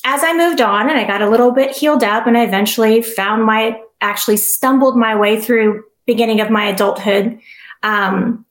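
A middle-aged woman with a soft voice, telling her personal story as an interview guest, earnest and reflective with many restarts. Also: fairly high pitch, normal speed, echoey room.

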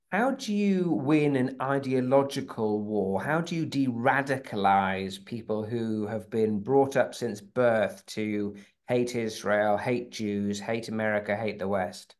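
An interviewer putting serious, measured questions to a podcast guest. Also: mid-range voice, darkish tone.

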